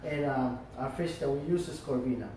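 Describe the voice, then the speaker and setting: A male chef talks through how to make a dish, step by step, pausing often on fillers. His voice sits at an ordinary middle pitch. He is heard from well away from the mic in a very echoey restaurant room, with faint noise behind him. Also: mellow, recorded from a distance. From Florida. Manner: explains step by step with many fillers. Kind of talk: cooking demonstration.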